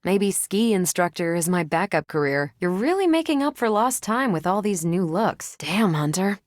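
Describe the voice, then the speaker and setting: A battle-ready video game superheroine. Her voice sits on the low side and is recorded close and dry. She is loyal and self-assured, with a wry, teasing edge to her delivery. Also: big pitch swings, normal speed, no room sound. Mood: steady, conversational.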